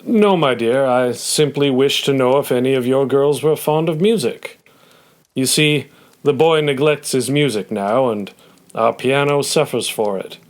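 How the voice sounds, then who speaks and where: A man playing a formal, gruff old gentleman. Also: mid-range voice, unhurried, lightly acted. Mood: kindly, formal. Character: gruff but kindly old gentleman grandfather. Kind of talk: audio play acting.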